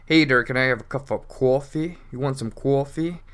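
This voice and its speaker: A man's voice: medium pitch, normal speed, fairly bright tone, natural voice. A man putting on a New York accent to voice a brash guy ordering coffee. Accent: New York (put on).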